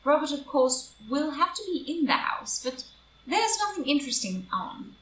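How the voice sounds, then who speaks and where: A woman's voice: high-pitched, sing-song, normal speed, a bit bright. Woman as a stern society wife, distant and echoey. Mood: polite. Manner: earnest, morally rigid delivery. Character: upright, stern, indignant married society lady. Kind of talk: stage play read as audio drama. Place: indoor room, live group reading. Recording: roomy, distant live reading, faint noise.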